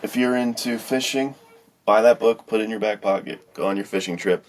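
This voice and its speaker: A man's voice: fairly low pitch, a little fast, slight background noise. A man co-hosting a podcast in a casual, slangy, hyped way, heaping emphatic praise on books. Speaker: podcast co-host and writer. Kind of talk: casual book chat.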